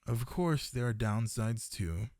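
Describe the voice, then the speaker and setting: A man's voice: medium pitch, animated, slow pace, darkish tone, no room sound, studio quality. A man playing a smitten, possessive part-dragon librarian in an ASMR boyfriend roleplay, speaking intimately and tenderly to one listener. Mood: chatty, self-assured.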